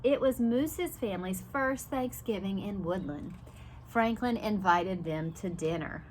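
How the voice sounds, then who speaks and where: A woman with a mid-pitched voice reads a picture book aloud for preschoolers. She is animated and speaks directly to the children, explaining and asking as she goes. There is noticeable ambient noise behind her. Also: a little slow, rough recording. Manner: animated, explains and questions young listeners directly. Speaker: preschool teacher reading aloud.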